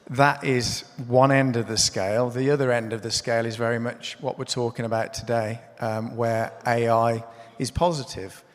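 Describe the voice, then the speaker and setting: A man's voice: medium pitch, steady tone, normal speed. Man moderating a panel in an echoey hall. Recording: reverberant conference hall.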